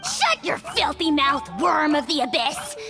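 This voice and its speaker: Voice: sits high, wildly swooping pitch, a little slow, vocal fry, exaggerated voice. An actor voicing a tiny, pompous, bratty dragon lord in a video game, haughty, grandiose and insulting. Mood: indignant, haughty. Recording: loud background music over voice. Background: music.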